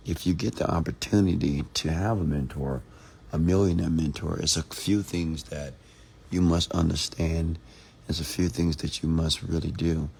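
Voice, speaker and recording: A man from Texas, hoarse after a seminar, hosting a late-night livestream, greeting viewers by name and giving motivational talk. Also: low-pitched, darkish tone. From Texas, USA. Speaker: entrepreneur hosting a live stream.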